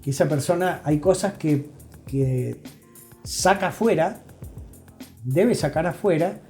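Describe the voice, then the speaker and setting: A middle-aged man with an Argentine accent, explaining things as an expert guest in an interview in an emphatic, deliberate way, pausing to check he is understood. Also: a little high, darkish tone, faint music behind. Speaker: guest expert, psychology professional.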